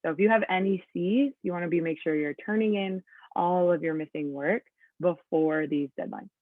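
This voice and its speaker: A woman's voice: on the low side, normal speed, dry recording. A woman in her late twenties with a Californian accent, teaching an online class in a chatty, upbeat way, full of ums and likes. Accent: Californian English. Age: late 20s. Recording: thin phone-like audio over a video call.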